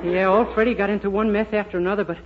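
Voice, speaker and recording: A man's voice: sits high, steady tone, very fast, lo-fi sound, a crowd in the background, lightly acted. An actor playing a naive, eager and gullible young man in a 1940s radio sitcom, speaking with innocent earnestness. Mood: chatty, fond. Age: young adult. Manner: innocent, earnest, literal-minded delivery. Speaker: lead comic actor. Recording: scratchy, noisy, thin 1940s radio recording.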